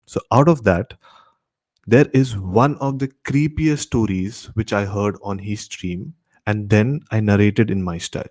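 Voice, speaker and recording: A man's voice: lowish voice, measured pace, darkish tone. A man with an Indian accent hosting a horror radio show, telling stories in a dramatic narrating style. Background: music.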